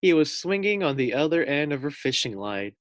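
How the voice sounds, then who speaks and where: A man reading a children's storybook aloud like a teacher, pausing for casual asides and questions to his young listeners. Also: a little high, sing-song, no room sound, clean studio sound.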